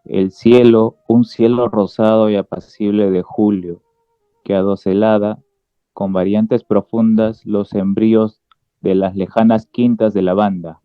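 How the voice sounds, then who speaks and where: A man narrates a literary radio drama from a script. His voice is fairly low and he reads very slowly. The sound is dry and slightly muffled, with soft music beneath. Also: flat delivery, clear, resonant tone, dry recording. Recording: dull lo-fi sound, music behind.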